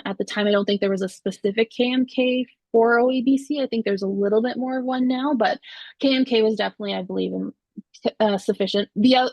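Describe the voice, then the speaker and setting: A woman's voice: medium pitch, a little mellow, no room sound, low-quality recording. A young woman, an optometrist and exam board member from Canada, answering students' questions on a video call in a friendly, informal way. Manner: friendly, informal, with laughs and fillers.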